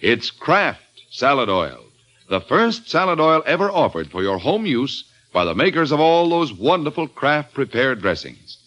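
A man announcing in booming, emphatic old-time radio style, his pitch swinging lively up and down. He sells with theatrical flair and then sets the scene as narrator. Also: measured pace. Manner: booming, emphatic sales delivery.

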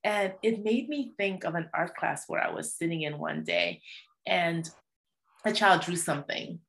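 A woman's voice: lowish voice, very animated, a little slow, a bit bright. A woman hosting a podcast, speaking in a casual conversational style. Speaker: podcast host.

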